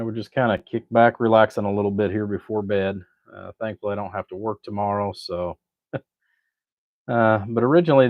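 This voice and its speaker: A man with a very deep voice chats casually as he tinkers at his workbench. His delivery is folksy, rambling and laid-back. The sound is dry and close, with a slightly dull, lo-fi edge. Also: dry recording. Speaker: livestream host, hobbyist amplifier builder. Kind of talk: casual livestream chat while working at a bench.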